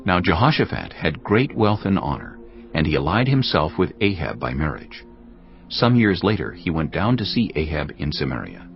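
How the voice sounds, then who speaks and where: A man giving scripted audio Bible narration in a measured, formal manner. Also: low-pitched, creaky. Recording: dull lo-fi audio over music.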